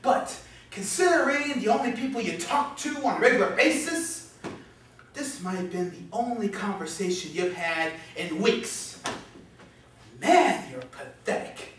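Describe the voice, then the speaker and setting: Mocking male alter ego, acted by a stage performer: lower, belittling, theatrical, distant mic with heavy room echo. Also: expressive, measured pace, a bit bright. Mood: sneering taunts. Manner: taunting, sneering, belittling. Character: mocking, foul-mouthed male inner voice of Oscar. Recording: distant, echoey room, faint noise.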